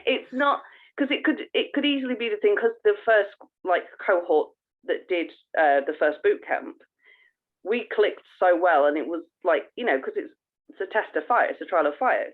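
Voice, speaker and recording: A woman's voice: mid-range voice, expressive, moderate pace. A woman co-hosting a podcast, chatting casually in an earnest, effusive manner. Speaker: podcast co-host. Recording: tinny phone-like call audio.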